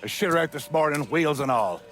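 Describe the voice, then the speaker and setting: An actor with an Irish accent playing a foul-mouthed, combative, sarcastic gangster in a video game. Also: male voice, a little high, animated, brisk, music in the background, lightly acted. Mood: crude defiance.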